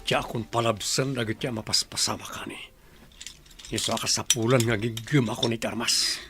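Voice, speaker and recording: A man's voice: medium pitch, steady tone, moderate pace, music in the background, close to an everyday voice. A man playing a grim, insistent husband out for revenge in a radio drama. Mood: determined, angry. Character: vengeful, stubborn husband, head of a guarded mansion.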